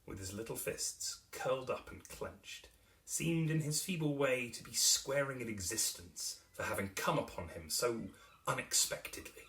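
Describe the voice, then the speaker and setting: A man narrating a classic novel as a dramatic reading, theatrical and expressive, with carefully shaped phrasing. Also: on the low side, recorded from a distance. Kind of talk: dramatic literary audiobook narration.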